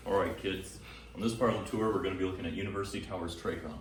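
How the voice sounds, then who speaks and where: A man's voice: low-pitched, steady tone, racing, natural voice. A young man playing a tour guide addressing children in an amateur skit, echoey and distant with noise behind. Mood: instructing. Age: young adult.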